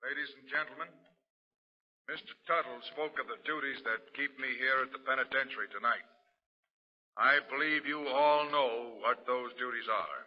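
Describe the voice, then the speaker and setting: A man's voice: medium pitch, normal speed, lightly acted. Man playing a resolute prison warden, formal emphatic oratory, old radio drama, muffled, long reverb. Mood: grave, resolute. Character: stern, resolute male prison warden running for governor. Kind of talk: 1940s radio drama political speech. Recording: dull old radio recording, echoing hall sound.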